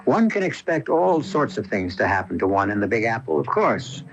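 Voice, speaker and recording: Ominous storyteller on muffled radio. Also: male voice, on the low side, very animated, a little hiss or hum. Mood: intriguing. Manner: deliberate, theatrical phrasing with dramatic pauses. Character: ominous storyteller man introducing an uncanny tale. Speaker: host of a radio mystery anthology. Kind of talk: dramatic radio drama narration. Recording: muffled AM radio broadcast recording.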